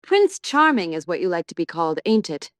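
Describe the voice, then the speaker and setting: A man voicing a coarse, drunken slum woman in an audiobook, hoarse, shrill and taunting. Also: very animated, brisk, a bit bright, no room sound. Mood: mocking. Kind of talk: dramatised audiobook character reading.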